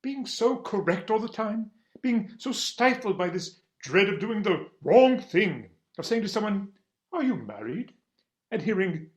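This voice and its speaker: Man playing English attorney, lovestruck, fussy, earnest, self-deprecating, very animated pitch, comic stage reading. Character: Archie, stifled middle-aged English attorney, earnest and lovestruck. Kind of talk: comic stage readers theater acting.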